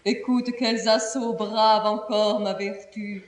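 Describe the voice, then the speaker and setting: An actress playing a proud, lovesick young princess, declaiming classical French verse tragedy in a solemn, impassioned and theatrical manner. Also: female voice, medium pitch, steady tone, takes it slowly, lightly acted. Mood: anguished confession. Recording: roomy, distant theatrical studio recording.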